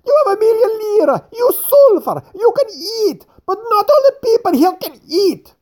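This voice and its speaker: A reader voicing a fat, excitable middle-aged man who speaks in a shrill, whiny, overwrought way. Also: moderate pace, slightly rough, exaggerated voice. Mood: angry.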